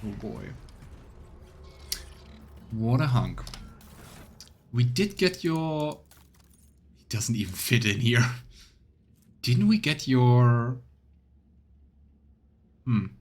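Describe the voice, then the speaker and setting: A man's voice: medium pitch, very animated, drawn-out words, long pauses, darkish tone. A man streaming a video game, commenting casually and reading the game's narration aloud. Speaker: let's play streamer. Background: game music.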